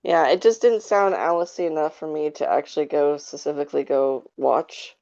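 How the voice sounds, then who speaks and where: A woman's voice: low-pitched, steady tone, moderate pace, vocal fry, darkish tone, dry recording. A woman chatting casually in a podcast discussion, speaking in a tentative, hedging way. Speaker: podcast panelist. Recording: slightly dull, lo-fi audio.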